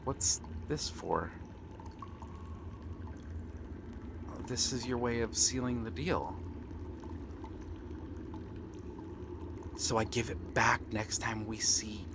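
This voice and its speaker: Clear water ambience surrounds an eager young pirate prince, acted by a man. He speaks slowly and earnestly in a medium-pitched voice, pausing often. The delivery is gentle and friendly, in the style of an ASMR fantasy roleplay. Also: noisy background. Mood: reassuring, pleased. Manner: earnest, gentle, unhurried talk with pauses. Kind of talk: ASMR roleplay acting, one-sided dialogue. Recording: water and ambient sound effects behind. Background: seaside ambience, water sounds.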